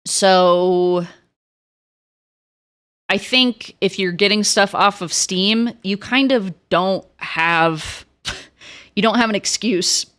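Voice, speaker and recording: A young woman with a crackly, lower voice, chatting frankly with stream viewers and swearing freely. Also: takes it slowly, long pauses, a bit bright. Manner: frank, swears a lot, many restarts. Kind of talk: casual chat to stream viewers.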